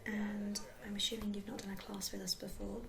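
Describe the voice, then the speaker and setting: A woman playing a friendly, helpful sports centre receptionist in a soft, unhurried whispered ASMR roleplay. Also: mid-range voice, completely flat delivery, creaky, a crowd in the background, close to an everyday voice. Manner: soft-spoken, whispering, unhurried, hesitant fillers.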